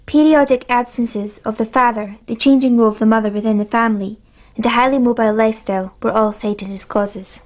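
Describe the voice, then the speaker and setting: A woman narrating her own documentary in a calm, reflective way. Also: a little high, a little fast. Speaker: narrator and filmmaker. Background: music.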